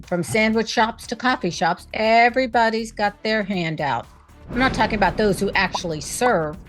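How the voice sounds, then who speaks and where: Female panel host, slightly high voice, opinionated and emphatic commentary. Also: fairly bright tone. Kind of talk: scripted commentary and panel discussion.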